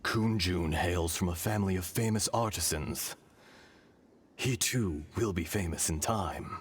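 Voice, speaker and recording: A man voicing an ancient, weary male dragon god who speaks slowly, solemnly, and half in whispers, in a video game. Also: sits low, a little hiss or hum. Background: game music.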